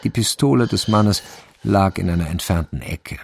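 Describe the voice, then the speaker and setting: Casting: sober male storyteller for crime audio drama, gravelly, unhurried deadpan delivery. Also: deep voice, exaggerated voice. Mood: dry suspense. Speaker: narrator. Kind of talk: scripted radio drama narration.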